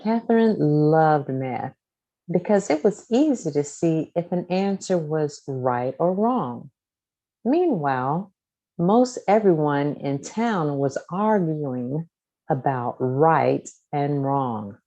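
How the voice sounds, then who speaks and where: A woman reading a children's story aloud from a script, with deliberate, expressive phrasing. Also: low-pitched. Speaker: storybook reader.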